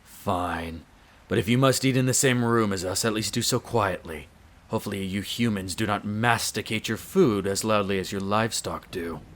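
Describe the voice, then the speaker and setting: A man's voice: on the low side, normal speed, lightly acted. A man playing a haughty, irritable aristocratic vampire master in an audio roleplay, speaking in a curt, condescending, formal manner. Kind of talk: scripted audio roleplay acting.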